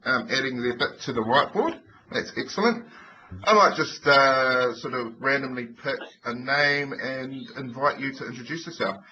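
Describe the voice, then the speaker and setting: A man's voice: mid-range voice, bright, forceful tone. A man hosting an online webinar in an encouraging, informal way, with fillers. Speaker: webinar host. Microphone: computer mic on a video call. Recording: muffled lo-fi web call audio with faint noise.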